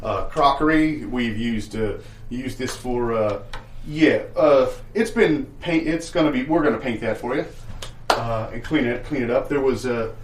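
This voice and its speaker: A man's voice: medium pitch, natural voice. Man playing a stammering, evasive landlord, noisy recording. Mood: evasive, coaxing. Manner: halting, stammering, euphemistic salesman patter. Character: evasive, sales-hungry male landlord showing shabby rental bungalow. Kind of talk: improvised comedy sketch acting.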